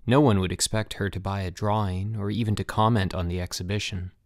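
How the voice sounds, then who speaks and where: A man narrating a literary short story as an audiobook, with measured, deliberate phrasing and careful pauses. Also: fairly low pitch, steady tone, no room sound, clean studio sound.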